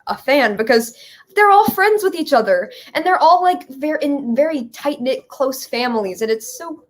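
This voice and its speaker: Young female guest, slightly high voice, informal, halting conversational delivery. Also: lively intonation. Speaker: interview guest, creative team member. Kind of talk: casual chat.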